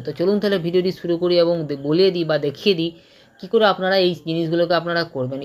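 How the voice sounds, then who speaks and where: Male tutorial presenter, Indian Bengali accent, extremely quick delivery, unscripted, repetitive and stumbling. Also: a little high. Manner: repetitive, stumbling, with frequent tag questions. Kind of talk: informal unscripted screen-walkthrough tutorial.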